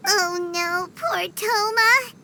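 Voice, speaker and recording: A woman voicing a small, chirpy, childlike fairy companion in a video game, excitable and blunt. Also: very high voice, drawn-out words, full character voice. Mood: sympathetic. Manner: refers to itself in third person, exclamatory. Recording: game music behind.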